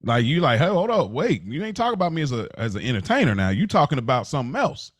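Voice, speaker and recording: A man's voice: mid-range voice, sing-song, fast talker, dry recording. A man co-hosting a podcast in casual, slangy chat, circling back and repeating himself to make his point. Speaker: podcast co-host.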